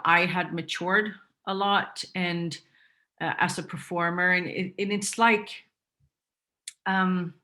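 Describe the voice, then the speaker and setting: A woman's voice: lowish voice, pushed and bright. A woman from Sweden, not a native English speaker, chatting as an interview guest with many fillers and restarts. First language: Swedish. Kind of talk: casual interview chat.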